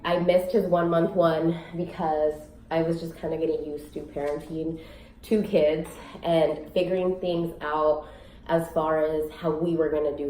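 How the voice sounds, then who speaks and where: Young mom vlogging from home, kinda sick, low raspy voice, rambly, echoey room. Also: female voice, dark tone. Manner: chatty, rambling with many fillers. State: a little sick. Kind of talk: casual chat to camera. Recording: echoey room, faint noise.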